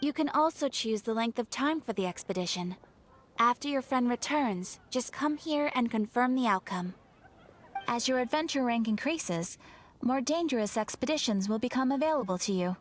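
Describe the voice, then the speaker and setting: A woman's voice: a little high, expressive, moderate pace, a little hiss or hum, natural voice. A woman playing a courteous young guild receptionist in a video game, speaking in a polite, formal, composed way. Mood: helpful, instructive. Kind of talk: scripted video game character dialogue.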